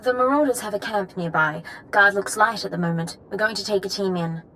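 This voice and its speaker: Woman acting brisk young military ensign, ambient noise. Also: medium pitch, a bit bright, natural voice. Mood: businesslike. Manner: crisp, formal military reporting. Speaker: game character voice actor. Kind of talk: video game dialogue acting.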